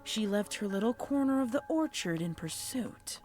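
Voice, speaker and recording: A woman's voice: mid-range voice, animated, normal speed, a little mellow, faint music behind. A woman reading a scripted horror story as a dramatic narrator.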